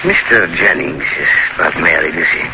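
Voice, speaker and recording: An actor playing a grave doctor who narrates a 1940s radio horror drama in a formal, measured, probing manner. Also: male voice, extremely low pitch, expressive, vocal fry, full character voice. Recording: scratchy, muffled 1940s radio recording, heavy noise.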